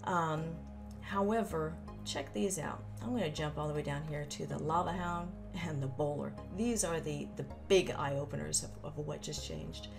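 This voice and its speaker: Female gaming host, lowish gravelly voice, informal commentary, clear music bed underneath. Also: moderate pace. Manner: chatty, informal asides and folksy exclamations. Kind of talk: casual explainer commentary on a game update. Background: background music.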